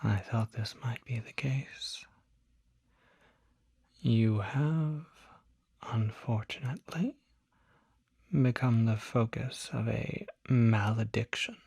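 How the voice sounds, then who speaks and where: A person voicing a polite, soft-spoken demon doctor in a whispered ASMR roleplay, with breathy, formal, precise speech. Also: medium pitch, exaggerated voice. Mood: calm, clinical. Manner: formal, unhurried, precise diction.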